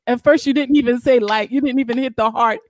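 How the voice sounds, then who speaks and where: A playful, animated woman from Haiti talks on a group call and laughs often. Her voice is high. The sound is dry and close, with no room around it. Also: quick pace, dry recording. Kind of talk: casual group discussion.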